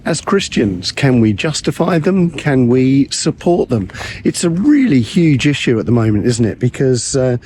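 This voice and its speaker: A man, a pastor and theologian, giving a measured teaching talk to camera with frequent ums and uhs, his voice a little croaky from recent illness. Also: mid-range voice, very animated, darkish tone, a little hiss or hum.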